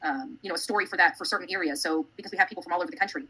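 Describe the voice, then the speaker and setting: Very fast, bright-voiced American woman rambling. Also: fairly low pitch, husky, poor audio. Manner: rambling, repetitive. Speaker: online true-crime livestream host. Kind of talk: casual livestream monologue to followers.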